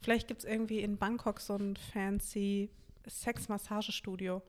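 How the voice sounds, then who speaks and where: A woman's voice: medium pitch, level tone, normal speed. Playful young woman chatting. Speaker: podcast co-host. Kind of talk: casual chat.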